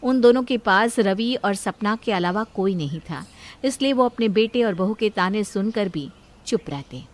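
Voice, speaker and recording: A woman's voice: medium pitch, animated, normal speed. A woman reading a scripted family story as a storyteller. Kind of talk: scripted Hindi story narration.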